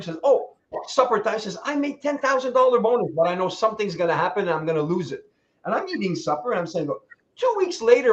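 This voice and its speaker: A man in his sixties telling motivational stories as a guest speaker. He talks with energy, laughs as he goes and acts out the people he quotes. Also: high voice. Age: 60s. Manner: animated, laughing asides, acts out quoted voices. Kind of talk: motivational storytelling. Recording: dull lo-fi sound.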